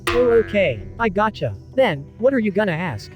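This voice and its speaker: A man playing an eager, carefree teenage boy in a visual novel, talking in animated slang. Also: high-pitched, normal speed, over music. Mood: curious.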